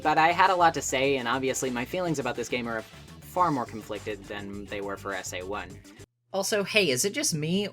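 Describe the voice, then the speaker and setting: A man's voice: medium pitch, fairly bright tone. A man delivering scripted video essay narration in an emphatic, conversational and wry manner. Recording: music playing behind the voice. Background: background music.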